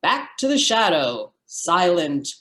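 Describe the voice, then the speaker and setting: Low-pitched woman announcer in an echoey room. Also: very animated, slow, a bit bright. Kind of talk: scripted radio narration. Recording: roomy, echoing sound.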